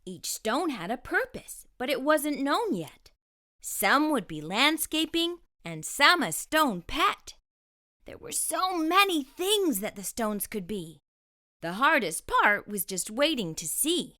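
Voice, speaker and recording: Lady reading a kids book out loud, bright and super expressive, takes her time. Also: high-pitched, slow. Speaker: storytime host, read-aloud narrator. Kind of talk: expressive children's storybook narration.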